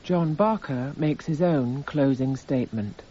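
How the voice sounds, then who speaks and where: An actor narrating a courtroom radio drama in a sober, scripted documentary style. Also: male voice, medium pitch, unhurried, dark tone, close to an everyday voice. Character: sober male narrator announcing stages of a trial. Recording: muffled, hissy radio drama recording.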